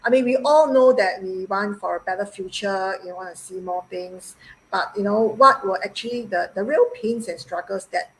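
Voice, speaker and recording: A woman from Singapore in her forties hosts an online interview. She sounds upbeat and effusive, often pausing with "uh" and "um" between phrases. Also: medium pitch, a bit bright, slight background noise, rough recording. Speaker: host. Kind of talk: live-stream interview.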